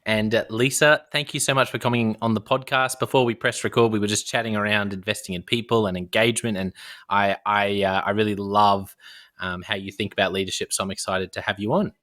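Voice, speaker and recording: A man's voice: fairly low pitch, fairly even intonation, brisk, fairly bright tone. A man hosting a leadership podcast, upbeat and encouraging, with some fillers and restarts. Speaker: podcast host. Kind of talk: podcast interview and promo.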